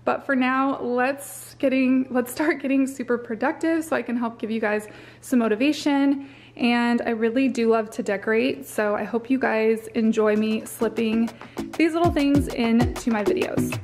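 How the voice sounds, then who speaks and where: A woman's voice: fairly high pitch, normal speed, a little mellow. A young woman giving a chatty, upbeat voice-over for a home vlog, with filler words like "um" and "like.". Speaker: home vlogger. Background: faint background music.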